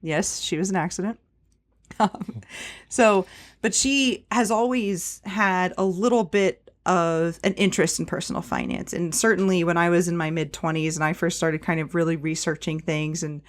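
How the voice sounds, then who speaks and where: Chatty woman with a low voice. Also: steady tone, normal speed. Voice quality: fairly deep voice for a woman. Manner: chatty, frequent fillers like "um" and "like". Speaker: podcast guest. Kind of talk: casual interview chat.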